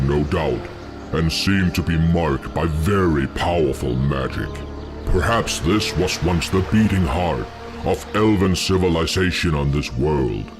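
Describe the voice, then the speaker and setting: Man as a deep, slow orc shaman. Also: full character voice. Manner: measured, ominous. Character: solemn, wise orc shaman seer.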